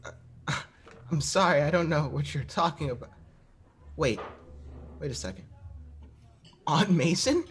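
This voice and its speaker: Highish-voiced teenage boy, noisy recording male actor. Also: lively intonation, a little slow, pauses often. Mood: flustered, surprised. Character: awkward runaway teenage boy working as waiter. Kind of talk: scripted audio drama dialogue.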